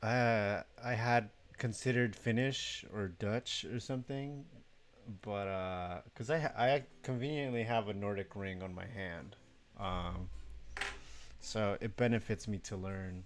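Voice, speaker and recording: A man's voice: fairly low pitch, a little slow. A young man from Mexico chatting casually on a live stream, sleepy, rambling and self-deprecating, swearing now and then.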